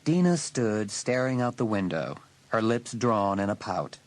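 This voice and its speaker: A man's voice: on the low side, very animated, measured pace. A man reading scripted story narration as an audiobook narrator.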